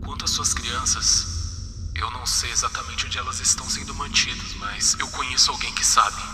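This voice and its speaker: A man's voice: medium pitch, fairly even intonation, normal speed, natural voice. A man voicing a polite, apologetic monster mascot with a dangerous streak in a Brazilian Portuguese horror game dub. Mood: calm, apologetic. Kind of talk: fan-dubbed horror game dialogue. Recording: echoey hall, distant, music behind.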